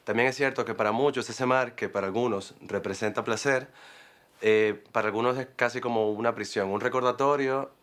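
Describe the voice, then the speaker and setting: A man's voice: mid-range voice, steady tone, fairly quick, fairly bright tone. A man, a screenwriter explaining his project in a thoughtful way. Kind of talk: interview and pitch.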